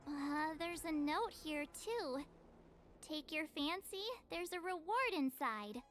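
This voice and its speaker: A woman playing an eager, outspoken young adventurer girl in a video game, with an excitable, direct manner. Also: high voice, slow, exaggerated voice. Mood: excited. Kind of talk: scripted game voice acting.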